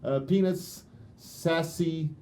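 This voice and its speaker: A man's voice: fairly high pitch, takes it slowly, mellow. A man hosting a casual game-studio livestream, hesitant with many fillers and restarts. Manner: hesitant, lots of uhs and restarts. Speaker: community stream host. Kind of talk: casual livestream news chat.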